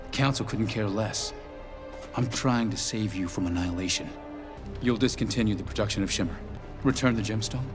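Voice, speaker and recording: An actor playing an earnest, idealistic young inventor turned city councilor in an animated drama, intense and resolute. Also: male voice, lowish voice, close to an everyday voice. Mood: grave, negotiating. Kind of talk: dramatic animated series dialogue. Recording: dialogue over clear background music. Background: music.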